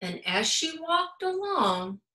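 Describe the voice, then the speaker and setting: An American woman, a school principal, reading a children's story aloud in an animated, emphatic way. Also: very high-pitched, takes it slowly. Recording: roomy, echoing sound.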